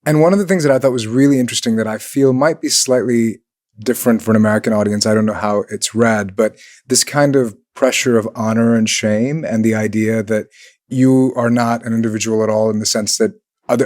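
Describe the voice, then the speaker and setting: A man from Pakistan talking quickly and thoughtfully about a film in a casual panel discussion. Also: medium pitch, no echo, clean studio sound.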